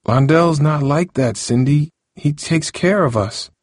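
Man playing a defensive teenage boy, raised pitch. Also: measured pace, a little mellow, no room sound, clean studio sound. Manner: defensive, pleading. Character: defensive, evasive teenage boy, earnest and pleading. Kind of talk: audiobook character dialogue.

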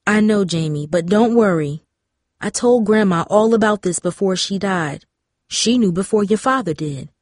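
A woman's voice: mid-range voice, normal speed, dry recording, clean studio sound, natural voice. A woman playing a loving mother in a storybook, soothing and earnest. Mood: gentle. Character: loving, reassuring mother of teenagers.

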